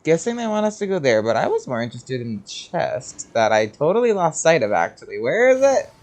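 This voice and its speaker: A man's voice: fairly high pitch, sing-song, fairly quick, darkish tone. A man giving casual, reactive commentary while playing a video game. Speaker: gamer recording a playthrough. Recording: commentary over game music.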